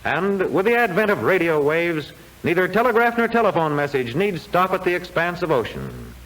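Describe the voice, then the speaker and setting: High-pitched 1940s educational film narrator, male. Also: wildly swooping pitch, fairly bright tone, low-quality recording. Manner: formal, measured, declamatory delivery. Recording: old 1940s film soundtrack.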